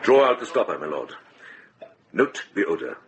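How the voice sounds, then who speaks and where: An actor playing a shrewd, compassionate middle-aged monk in a radio drama, speaking with grave, measured deliberation. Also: male voice, low voice, very animated. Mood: grave, accusing.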